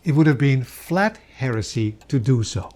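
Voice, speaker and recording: A man giving a dramatic storybook reading of a Victorian Christmas tale, savoring the words with expressive pauses. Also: mid-range voice. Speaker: storyteller reading a classic novel aloud.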